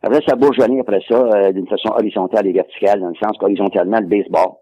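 Older man, English speaker, rapid husky delivery, dry close sound, rambling recall. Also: on the low side, fairly even intonation, no room sound, dull audio. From Quebec. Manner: rambling, repeats words while recalling. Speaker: guest, sports historian. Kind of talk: casual interview.

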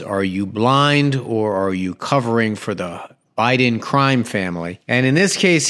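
A man's voice: medium pitch, fairly bright tone. A man hosting a talk radio show, with a conversational, emphatic delivery that often restarts mid-sentence. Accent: New York. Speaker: radio host.

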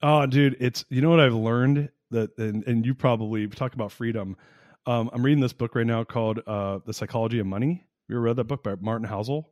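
A man in his forties hosts a casual podcast chat, excitable and animated, cursing freely and laughing often. His voice sits at an ordinary middle pitch. The sound is dry and close, with no room around it. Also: fairly quick, no room sound, lo-fi sound. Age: 40s. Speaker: podcast host.

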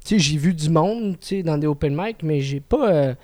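Hesitant Quebec French man, slightly high voice. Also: lively intonation, a little mellow. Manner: hesitant, fills every pause with "tu sais". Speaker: podcast host. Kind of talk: casual chat.

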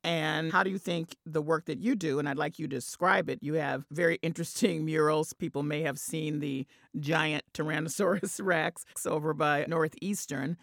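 Guy hosting an art interview, upbeat, higher voice, dry sound. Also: fairly even intonation, moderate pace, creaky, hardly any pauses, no echo. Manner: enthusiastic, warm praise of guests' work. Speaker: interview host.

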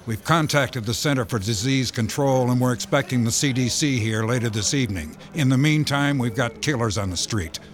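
An actor playing an impatient, commanding county sheriff in a radio drama. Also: male voice, medium pitch, fairly quick, hardly any pauses, lightly acted. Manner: brusque, commanding. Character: gruff middle-aged male county sheriff, impatient.

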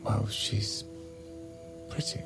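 An actor playing an insecure, pleading husband in an intimate audio drama, halting and stammering, sometimes breathy. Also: male voice. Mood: defensive, desperate. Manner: halting, stammering, repeats himself. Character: pleading, insecure, flustered husband in a failing marriage. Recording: music under the dialogue.

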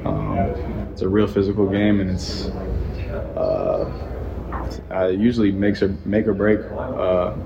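A young college football player answering reporters hesitantly, with many ums. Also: male voice, deep voice, animated, slow, creaky, long pauses, dull audio, background noise. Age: young adult. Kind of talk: press conference answers.

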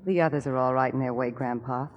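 An actress in a 1940s radio drama playing a weary but defiant young widow, plain-spoken, with a husky voice. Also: female voice, extremely low pitch, fairly even intonation, quick pace, highs cut off. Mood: earnest.

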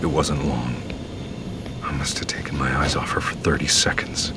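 A man's voice: very deep voice, steady tone, normal speed, very noisy. A man acting as a weary, grave survivor narrating a scripted audio drama in the first person, with music under his voice. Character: weary grave male survivor recounting a doomed escape.

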